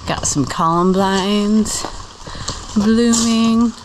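A woman's voice: medium pitch, drawn-out words. An American woman, around her thirties, chatting casually and self-deprecatingly as a vlogger, recorded outdoors on a camera microphone. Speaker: sailing vlogger. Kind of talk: casual chat. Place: outdoors on open water and shoreline. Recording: outdoor camera audio with clear background noise.

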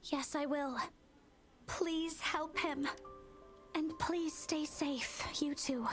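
A woman's voice: high voice, steady tone, very slow. A woman playing a timid, worried young explorer in a video game, hesitant and pleading. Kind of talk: video game dialogue acting. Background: game music.

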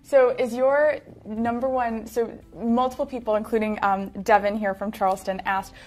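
A woman's voice: a little high, steady tone, moderate pace, darkish tone, soft background music. A young woman interviewing and reading out audience questions, hesitant with many ums. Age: young adult. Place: small indoor studio set.

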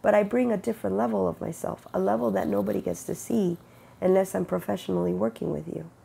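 A middle-aged woman with a cold, sniffling and rough-voiced, giving an earnest, unhurried spiritual testimony into an earbud mic in her bedroom. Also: medium pitch, fairly even intonation, mellow. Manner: earnest, unhurried, quotes God in conversation. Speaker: faith vlogger. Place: bedroom with bright window, indoors. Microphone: wired earbud mic, webcam close-up.

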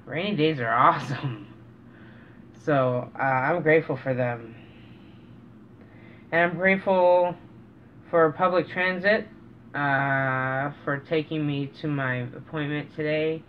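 A middle-aged man talking casually and reflectively to camera as a vlogger, earnest and halting, pausing mid-sentence, in a small room indoors. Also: a little high. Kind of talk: casual, reflective vlog talk. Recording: dull, noisy home recording.

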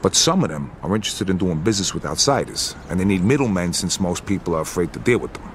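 Deep-voiced, confident American mobster. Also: male voice, animated, fast, a little hiss or hum. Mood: confident, persuasive. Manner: assured, matter-of-fact, blunt. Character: confident, businesslike young American mobster pitching deals. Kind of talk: video game cutscene acting.

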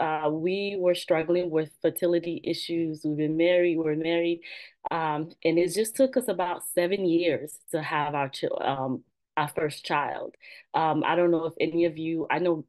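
A woman's voice: deep voice, darkish tone. A middle-aged woman from Haiti who grew up in New Jersey, telling a personal story casually on a video call from home. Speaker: guest telling her story. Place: room at home. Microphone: video call, close to screen.

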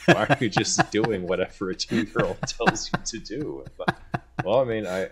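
A man's voice: fairly low pitch, moderate pace. A man talking casually and conversationally as a podcast co-host.

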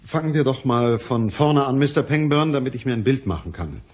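Actor as husky, dry private detective. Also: male voice, medium pitch, lively intonation, darkish tone, natural voice. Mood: measured, businesslike. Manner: dry, measured, questioning. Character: matter-of-fact, weary male private detective. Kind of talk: German radio crime drama acting.

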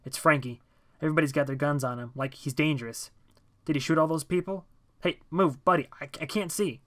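A man voicing an excitable, alarmed male friend who shouts profane play-by-play in short exclamatory bursts for an audio story. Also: fairly high pitch, brisk, close to an everyday voice. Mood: impatient, curious.

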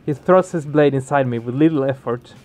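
A man's voice: mid-range voice, a little fast, a little mellow, slight background noise. A man hosting a game let's play, expressively reading the story aloud and reacting to it.

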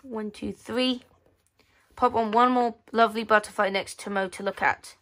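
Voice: medium pitch, fairly even intonation, moderate pace, bright, forceful tone. A female speaker giving casual commentary on a magazine page by page, reading bits aloud, with hesitant, rephrased sentences. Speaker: host of a magazine flip-through video. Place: indoors at a tabletop.

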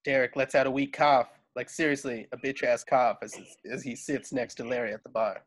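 A man's voice: mid-range voice, little pitch movement, a little fast. A man reading screenplay stage directions aloud as the narrator of a home table read. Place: at home on a video call.

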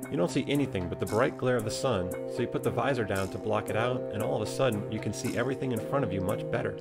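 A man's voice: lowish voice, creaky. A man with an American accent reading scripted documentary narration. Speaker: narrator and nature photographer. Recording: music behind. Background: music.

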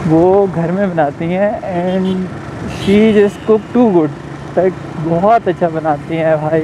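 A young man with a Pakistani Urdu accent, a delivery rider chatting casually to viewers while riding a motorbike through town, rambling and calling them brother. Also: sits high. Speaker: food delivery rider and motovlogger. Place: outdoors on a motorbike on a town road. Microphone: helmet or chest camera while riding. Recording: dull lo-fi sound with faint engine and traffic noise.

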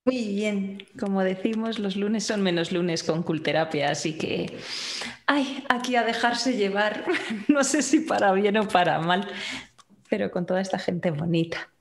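A woman's voice: medium pitch, measured pace. A woman chatting casually as a regular player on a roleplay stream, recorded in a roomy, echoey sound. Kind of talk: casual chat.

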